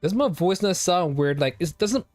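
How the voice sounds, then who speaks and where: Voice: medium pitch, animated, moderate pace, darkish tone, dry recording, faint music behind. A live streamer chatting casually to viewers, rambling and firing off questions to chat. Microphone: home streaming microphone.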